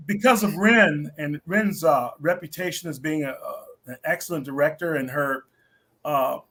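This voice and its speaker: Earnest middle-aged man, slightly high voice. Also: bright, forceful tone. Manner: halting, earnest, polite. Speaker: stage actor, interview guest. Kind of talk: interview answer.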